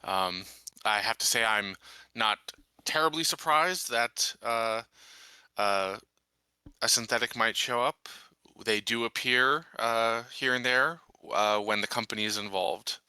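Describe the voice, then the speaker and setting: A man's voice: lowish voice, unhurried, pushed and bright, smooth, no room sound. A man roleplaying a composed, matter-of-fact sheriff in a tabletop game, speaking formally and with measure.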